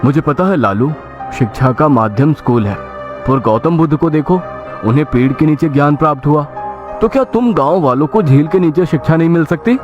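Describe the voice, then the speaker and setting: A man's voice: on the high side, a little fast, lo-fi sound, close to an everyday voice. A man playing a smug, cunning village merchant in a cartoon moral story, persuading as he explains. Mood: smug, persuasive. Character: smug, cunning male village merchant selling false promises. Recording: loud music under the voice.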